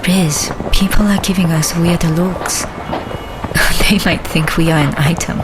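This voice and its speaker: A woman's voice: fairly low pitch, fairly even intonation, unhurried, noisy background, close to an everyday voice. An actress playing a wry, observant female adventurer, narrating in the first person for an audio drama. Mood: wry, self-conscious.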